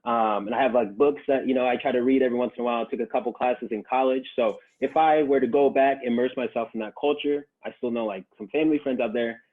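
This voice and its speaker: A man's voice: medium pitch, fairly even intonation, quick pace, hardly any pauses. A young man born in Japan, a podcast guest, chatting in a laid-back way with many fillers and laughs. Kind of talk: casual podcast conversation. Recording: tinny phone-like line.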